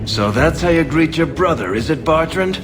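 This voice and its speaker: A man's voice: fairly high pitch, animated, normal speed, music in the background, lightly acted. An actor playing a wry, vengeful male rogue in a video game, sardonic and menacing.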